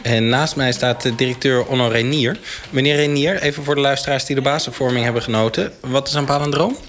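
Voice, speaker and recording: An actor playing an earnest, slightly awkward field reporter, hesitantly probing in a mock radio interview. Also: male voice, mid-range voice, steady tone, fairly quick, a bit bright, close to an everyday voice. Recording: faint call centre noise, clicks. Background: faint call centre noise.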